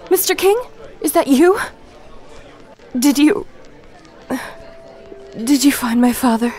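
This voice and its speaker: An actress playing a grieving, determined daughter in an old-time radio noir drama, earnest and dramatic. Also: female voice, high voice, lively intonation, slow pace, darkish tone, faint music behind, some chatter behind. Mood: anxious, urgent. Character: grieving, determined daughter, female detective's client.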